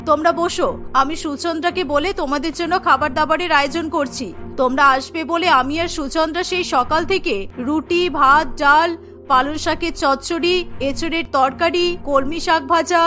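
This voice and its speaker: Woman as a high-voiced, kindly old village grandmother. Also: steady tone, moderate pace, faint music behind. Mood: welcoming. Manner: affectionate, gently admonishing. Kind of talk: cartoon character acting.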